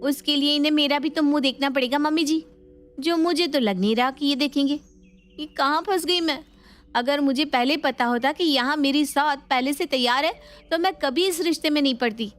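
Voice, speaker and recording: A woman's voice: high-pitched, normal speed, faint music behind, lightly acted. A woman playing a feisty, suspicious young Indian bride in a family story, dramatic and plaintive. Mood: aggrieved.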